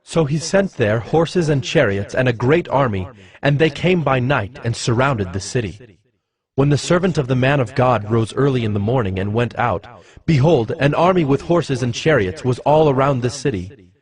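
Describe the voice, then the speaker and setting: A man narrating scripture as a scripted story in a solemn, measured manner. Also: mid-range voice, lively intonation, a little mellow. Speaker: Bible narrator.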